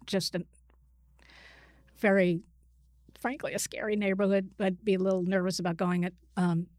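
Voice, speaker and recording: A woman speaking as an expert guest in a conversational interview, explaining things haltingly and often correcting herself. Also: medium pitch, little pitch movement, a little mellow, no echo, clean studio sound.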